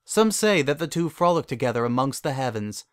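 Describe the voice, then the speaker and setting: A man's voice: medium pitch, very animated, brisk, clean tone, no echo. Man narrating a fairy tale, dry close recording. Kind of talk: dramatic fairy tale reading.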